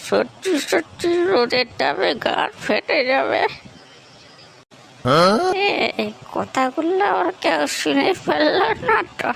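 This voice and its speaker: Slow, creaky cartoon grumbler played by a woman. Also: high voice, a little hiss or hum, heavily acted, poor audio. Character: preachy, grumbling villager who scolds youngsters. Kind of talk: comedy cartoon dialogue.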